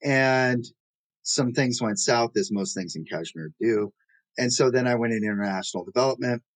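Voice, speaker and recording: A man's voice: medium pitch, normal speed, no room sound. A man from the Pacific Northwest, a startup founder, telling his career story in a rambling, self-deprecating way with many fillers. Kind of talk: casual interview storytelling. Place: New York City.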